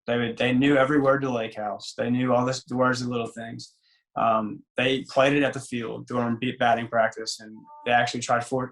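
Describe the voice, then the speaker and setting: A man in his twenties with a raspy voice, a singer and former ballplayer chatting loosely as an interview guest, full of fillers and restarts. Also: mid-range voice, a bit bright, rough recording. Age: 20s. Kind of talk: casual interview chat.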